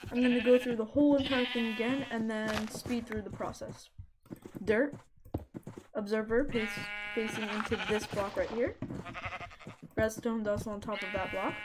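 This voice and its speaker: A woman with a somewhat high-pitched voice talks through a game build in a relaxed, chatty tutorial manner. She chats with the animals on screen as she goes. Clear noise and game sounds sit under her voice. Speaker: Minecraft let's play creator. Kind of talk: casual gameplay tutorial narration.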